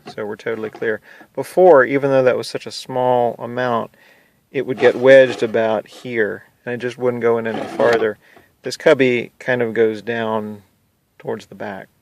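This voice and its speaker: A man's voice: medium pitch, fairly even intonation, normal speed, creaky, a little hiss or hum. A man giving a casual, plain-spoken how-to narration, explaining a hands-on DIY install step by step. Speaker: hobbyist demonstrating a DIY car install.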